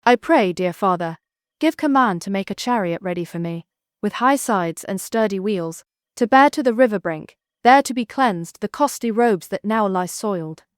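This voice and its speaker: A woman's voice: medium pitch, animated, normal speed, smooth, dry recording, clean studio sound, close to an everyday voice. A woman playing a young royal maiden, a dutiful daughter speaking courteous, archaic verse in an audio drama. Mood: polite pleading.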